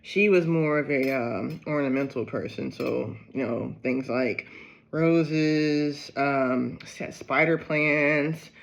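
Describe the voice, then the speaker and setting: A man from Ohio chatting casually to camera in a gardening vlog, rambling and low on energy, often breaking into excited exclamations. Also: slow, creaky, highs cut off. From Ohio, USA. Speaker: gardening vlogger.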